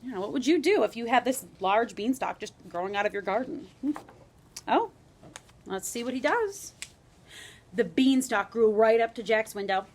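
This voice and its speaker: A woman's voice: fairly high pitch, moderate pace. Woman teacher reading aloud to young children. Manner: expressive, conversational asides. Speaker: grade school teacher. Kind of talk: children's read-aloud lesson, storytelling and questions.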